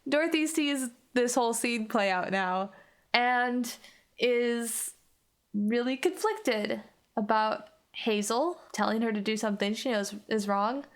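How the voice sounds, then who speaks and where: A woman's voice: a little high, slow. A woman on an actual play podcast, narrating improvised roleplay in a casual, hesitant way with lots of "like".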